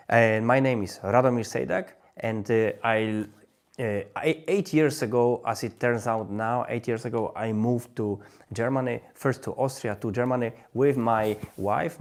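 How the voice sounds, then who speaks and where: Halting man with a Polish accent. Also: mid-range voice, a little mellow. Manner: halting, searching for words, many restarts. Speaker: language-learning livestream host. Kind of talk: live stream monologue.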